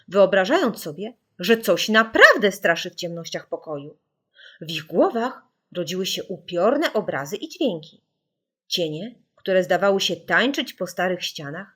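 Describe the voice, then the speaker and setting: A woman narrating a children's audiobook in a theatrical, suspenseful way with deliberate pauses. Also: lowish voice, a bit bright, slightly rough. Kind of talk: dramatic scripted children's story narration.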